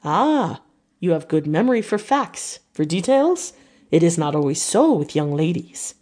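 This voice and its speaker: A woman's voice: on the low side, big pitch swings, a little slow, warm tone. An old doctor from a gothic audiobook, acted out by a woman. He is grave yet effusive and kindly, stressing his words in formal, broken English. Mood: courteous.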